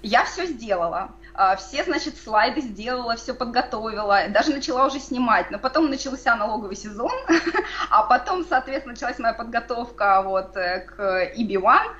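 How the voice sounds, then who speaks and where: Higher-voiced woman on a dull video call. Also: normal speed. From Moldova. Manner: lively, explains at length with fillers, laughs easily. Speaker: guest. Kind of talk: conversational interview answers.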